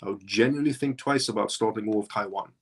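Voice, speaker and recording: A man's voice: lowish voice, quick pace, slightly rough. A man originally from Iran, hosting a live show and talking through his points in a rambling way that circles back on itself. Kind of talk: casual livestream commentary.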